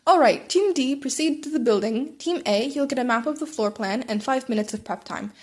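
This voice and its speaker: A woman's voice: sits high, brisk. Woman reading as a confident male superhero teacher. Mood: instructing.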